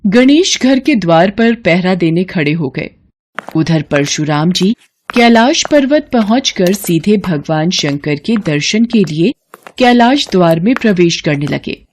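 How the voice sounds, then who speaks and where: A woman tells a scripted devotional story. Her voice sits at a middle pitch and moves with very lively intonation, in a storyteller's delivery. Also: unhurried, slight background noise. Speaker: narrator.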